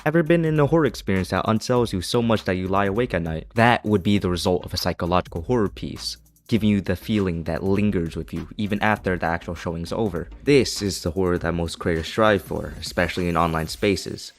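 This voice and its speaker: Low-voiced man narrating a video essay. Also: brisk, few pauses. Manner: opinionated, critical.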